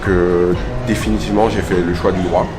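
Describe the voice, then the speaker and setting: A man in his early thirties with a deep voice gives a personal account as an interview guest. He speaks reflectively, and music plays loudly behind him. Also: little pitch movement, fairly quick. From French Guiana. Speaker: interview guest, law professional.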